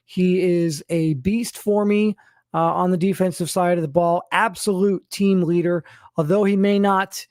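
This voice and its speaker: A man hosting as a sports team general manager, announcing to followers and stressing names and stats with deliberate emphasis. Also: high voice, a little mellow, dry recording, studio quality.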